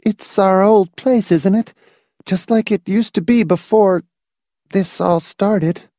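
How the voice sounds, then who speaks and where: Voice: medium pitch, slow pace, warm tone, no room sound, studio quality, pitched above the performer's own voice. A narrator voicing an anxious, pleading wife in an audiobook, with shaky, insistent questioning. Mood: nervously hopeful.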